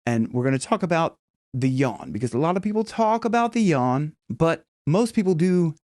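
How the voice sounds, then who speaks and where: Lively chatty male singing coach. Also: on the high side, moderate pace, no echo, clean studio sound. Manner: chatty and emphatic, with vocal demos. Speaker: singing teacher. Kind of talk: casual video lesson.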